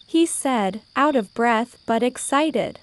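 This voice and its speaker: High-voiced woman narrating a children's story. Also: lively intonation, takes it slowly. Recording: faint insects and birdsong behind. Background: crickets, birds, nature ambience.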